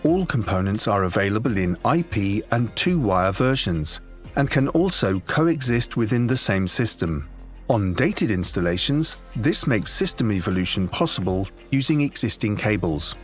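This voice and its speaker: A man reading scripted corporate product narration in a measured, formal presentational manner. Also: lowish voice, big pitch swings, faint music behind. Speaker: promotional video narrator.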